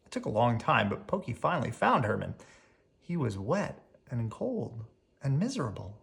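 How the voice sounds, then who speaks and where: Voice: mid-range voice, big pitch swings, normal speed, gravelly. A storyteller reading a children's picture book aloud expressively, drawing out key words.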